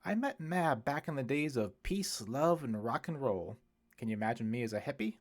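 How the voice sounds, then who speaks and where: A man voicing a genial older priest, a wry former hippie who talks in gently eloquent, wordy phrases for an audiobook. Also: mid-range voice, expressive, moderate pace, natural voice. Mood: amused, nostalgic.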